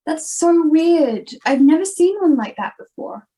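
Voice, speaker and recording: A woman's voice: high voice, expressive, measured pace, warm tone. An Australian girl of about ten playing a sensible, careful modern schoolgirl in an amateur radio play, recorded at home. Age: 10 to 11, child. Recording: home video-call recording with music.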